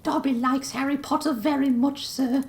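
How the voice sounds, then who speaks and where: A man voicing an eager, pleading male house elf with a squeaky, breathless voice who speaks of himself in the third person. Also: fairly even intonation, normal speed. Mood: earnest. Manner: talks of himself in third person, says sir often.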